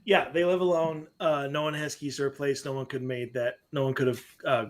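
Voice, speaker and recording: A man's voice: medium pitch, little pitch movement. A man co-hosting a casual paranormal podcast from home over a video call. He is playful, laughs easily, and reads listener stories aloud. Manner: playful, quick to laugh, drifts into a sing-song voice. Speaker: podcast co-host. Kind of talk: casual chat, reading a listener story aloud. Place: room at home. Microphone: webcam call from home.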